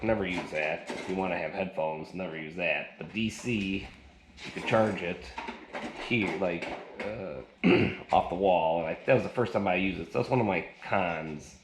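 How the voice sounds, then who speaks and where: A man's voice: low voice, normal speed. A man giving a casual hands-on gadget review in a rambling, colloquial way, speaking indoors. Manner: rambling, colloquial, folksy slang. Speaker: product reviewer. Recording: echoey room with loud noise.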